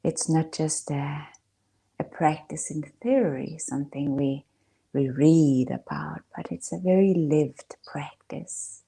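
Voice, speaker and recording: A woman speaking as a meditation guide, in a soft, breathy, hushed voice with long pauses. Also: deep voice. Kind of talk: gentle guided meditation talk.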